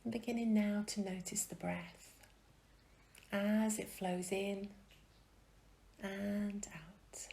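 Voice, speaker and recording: A woman gently guiding a meditation in a soft, breathy, often near-whispered voice, soothing and unhurried, with long pauses. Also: medium pitch, fairly even intonation. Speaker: meditation guide. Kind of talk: guided meditation.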